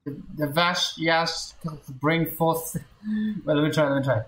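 Low-pitched, slow-talking woman streamer. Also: little pitch movement, fairly bright tone, a little hiss or hum. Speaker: streamer playing a game. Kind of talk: casual gameplay reaction commentary. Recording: roomy echo, game music behind. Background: faint game music.

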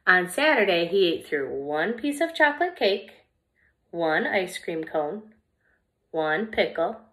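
A young woman who teaches small children, reading a picture book aloud in an expressive, deliberate way meant for little listeners. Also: on the low side. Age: young adult.

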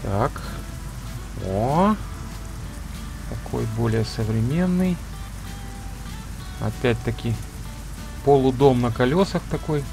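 A hoarse man talking casually to viewers as he plays a truck driving game, thinking aloud as he goes. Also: medium pitch, animated, takes it slowly, pauses often, music in the background, low-quality recording. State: hoarse, off voice today. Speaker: gameplay video creator. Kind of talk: casual let's-play commentary.

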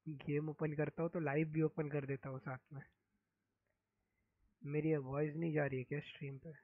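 A young man from India live-streaming a game, speaking softly and hoarsely with a sore throat in casual chat. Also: a little high, flat delivery, moderate pace, no echo, phone-quality sound. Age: young adult. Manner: speaks softly, mic-checking.

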